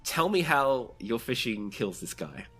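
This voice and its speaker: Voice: medium pitch, very animated, measured pace, a bit bright, husky, soft background music. A game master casually narrating and running a tabletop roleplaying game, playful and free with swearing.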